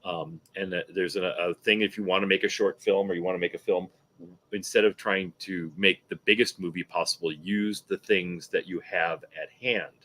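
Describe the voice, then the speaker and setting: A man's voice: low-pitched, fairly even intonation, normal speed, sharp tone. A middle-aged man talking casually as a guest filmmaker on a panel.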